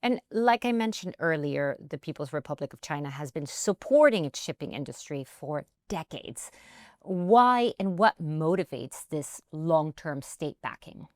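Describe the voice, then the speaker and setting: A woman hosting a business interview, briskly reading prepared questions. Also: sits low, lively intonation, darkish tone, dry recording, studio quality. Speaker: interviewer host.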